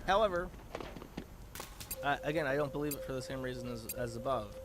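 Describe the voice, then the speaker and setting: A man streaming casual, rambling commentary in a halting, sarcastic way with some swearing. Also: medium pitch, heavy background noise. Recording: loud game music and gunfire behind. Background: video game music, gunfire and vehicles.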